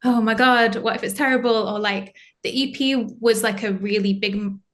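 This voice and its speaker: A woman's voice: a little high, steady tone, normal speed. A young woman, a band's singer, chatting casually as an interview guest, with lots of fillers and frequent laughter.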